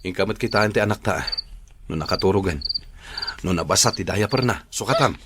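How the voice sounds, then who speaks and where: A man's voice: low-pitched, a little fast, heavy background noise, natural voice. A man playing a troubled, patient husband and young father in a Filipino radio drama, with an earnest, mild manner. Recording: loud music bed behind the voice. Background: background music.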